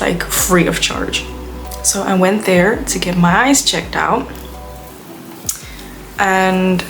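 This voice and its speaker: Rambling young woman vlogging. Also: fairly low pitch. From Belgium. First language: Dutch. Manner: chatty, rambling, heavy use of "like". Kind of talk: casual rambling vlog rant. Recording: music playing under the voice.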